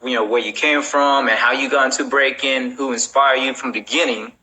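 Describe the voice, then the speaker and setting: Bright, punchy-voiced man hosting casually. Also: mid-range voice, fairly even intonation, a little fast, rough recording. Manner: informal, rambling, slangy with repeated check-ins. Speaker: host. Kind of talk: casual chat.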